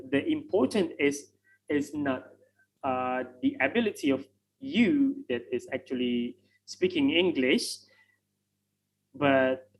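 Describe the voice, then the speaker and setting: Slow, hesitant Indonesian-accented man. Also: a little high, a little mellow, rough recording. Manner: hesitant, many fillers and restarts. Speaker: guest speaker on English tests. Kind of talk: online webinar talk. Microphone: video call.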